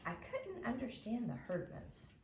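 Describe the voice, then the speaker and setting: A woman's voice: on the high side, huge pitch swings, measured pace. A woman reading a children's storybook aloud as a storyteller. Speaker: storybook reader. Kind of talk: animated read-aloud storytelling. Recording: roomy echo, faint background noise.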